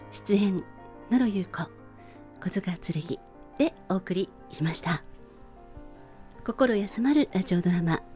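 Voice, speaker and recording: A woman radio host speaking politely in a friendly, cheerful hosting and interview style. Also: medium pitch. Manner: polite, bright-spirited.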